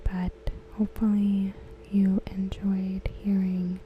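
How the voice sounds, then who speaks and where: A woman reading aloud in a soft, breathy, near-whispered ASMR style, gentle and measured, close to the microphone. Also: medium pitch, monotone, highs cut off, slight background noise. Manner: gentle, hushed, measured delivery. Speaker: ASMR host. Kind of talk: softly spoken ASMR read-aloud of forum comments.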